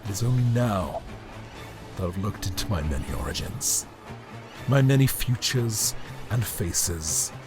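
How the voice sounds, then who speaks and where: Man acting as bitter ancient emperor, slow delivery, grandiose villain monologue, dramatic pauses, audio drama, music underneath. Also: lowish voice, expressive. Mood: scheming, menacing. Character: bitter, megalomaniac male emperor, ancient disembodied time-travelling being.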